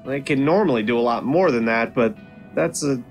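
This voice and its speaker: A woman's voice: a bass voice, moderate pace, faint music behind. A woman playing an awkward, friendly, bumbling young character in an audio drama, hesitant and stammering.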